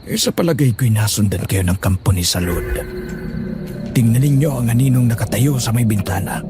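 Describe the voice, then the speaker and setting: A man's voice: mid-range voice, animated, a little fast, over music, natural voice. A man voicing a cautious, hospitable older village father in a horror audio drama. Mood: wary, hospitable.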